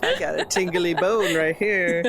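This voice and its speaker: A woman's voice: lowish voice, a little slow, crowd noise. A woman playing a tabletop game, chatting casually with the group as one of the players.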